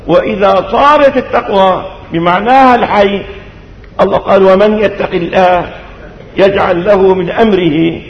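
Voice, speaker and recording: An older man with a Syrian Arabic accent preaching in a mosque, teaching scripture in a didactic, measured way with deliberate phrasing. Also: high-pitched. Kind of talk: mosque sermon explaining scripture. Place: large reverberant mosque. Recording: dull echoey hall recording, long reverb.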